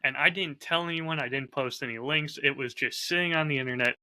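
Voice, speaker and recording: A man's voice: on the high side, brisk, pushed and bright, rough recording. A man co-hosting a podcast, talking candidly and articulately in a casual, reflective, storytelling way. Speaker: podcast host and audio drama creator.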